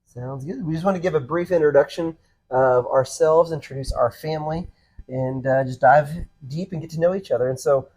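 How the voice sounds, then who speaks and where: A man's voice: fairly high pitch, brisk, darkish tone, low-quality recording. A man in his thirties co-hosting a podcast, talking in an easygoing, earnest way with frequent ums and restarts. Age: 30s. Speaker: podcast co-host, pastor. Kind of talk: casual conversational podcast chat.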